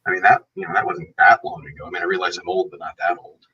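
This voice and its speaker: Middle-aged male co-host, casual, forceful rough voice, muffled lo-fi distant pickup. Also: low voice, fast talker. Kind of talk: casual chat. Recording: distant, dull lo-fi mic.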